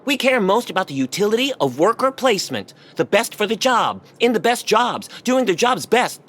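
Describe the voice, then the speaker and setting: A bright, forceful bureaucrat. The delivery is theatrical and salesman-like, rolling out grand promises with an official's self-satisfied humor. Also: big pitch swings, moderate pace. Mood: persuasive, grandiose. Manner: salesman-like, theatrical, list-heavy pitch. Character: smooth-selling Chinese government bureaucrat, joking and grandiose. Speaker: actor. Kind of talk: audio play acting.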